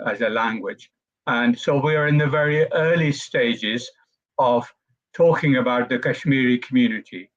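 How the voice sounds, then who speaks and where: A man talks slowly on an online panel, pausing often as he gathers his thoughts. His voice sits at an ordinary pitch and comes through a slightly dull, lo-fi video-call line. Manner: measured, thoughtful, many hesitations. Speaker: panel speaker.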